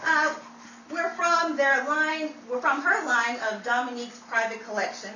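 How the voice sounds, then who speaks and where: A woman's voice: high voice, measured pace, pushed and bright. A woman announcer reading scripted credits in a radio-show style. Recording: echoey room, distant mic, faint noise.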